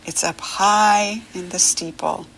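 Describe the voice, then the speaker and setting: A middle-aged American woman leading a read-along lesson for young children, encouraging and teacherly, pausing for the child to answer. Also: medium pitch, fairly even intonation, vocal fry, a bit bright, a little hiss or hum, low-quality recording.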